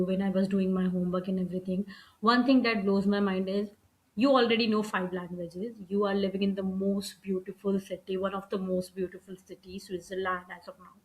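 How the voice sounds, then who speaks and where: A woman's voice: mid-range voice, poor audio. A woman hosting an interview, asking her guest enthusiastic, admiring questions. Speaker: podcast host.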